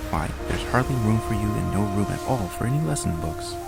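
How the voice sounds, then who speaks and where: A man's voice: fairly low pitch, expressive, a little fast, creaky. A man voicing a curious, stubborn young girl who muses and scolds herself in a children's story. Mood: musing. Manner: musing, self-scolding. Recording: loud music under the voice.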